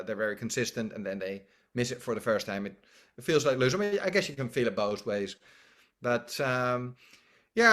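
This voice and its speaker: A man with a Dutch accent, a music school founder talking casually as a podcast guest, speaking in halting, restarting phrases. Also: mid-range voice, a bit bright. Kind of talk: casual conversational interview.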